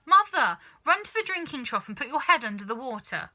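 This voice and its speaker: Casting: woman as hysterical storybook housewife. High, shrill, wailing, always on the edge of panic. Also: big pitch swings, brisk, sharp tone, exaggerated voice. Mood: panicked. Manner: crying out, wailing, shrieking. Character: frantic wailing wife and mother, easily panicked. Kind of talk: children's storybook reading.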